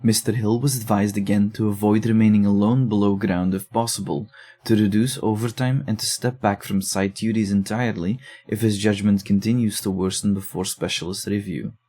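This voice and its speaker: Male doctor played by an actor, audio drama. Soft, dark tone, lowish pitch, formal and measured dictation of case notes. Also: fairly even intonation, close to an everyday voice. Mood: grave, concerned. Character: sober doctor reading his clinical case notes.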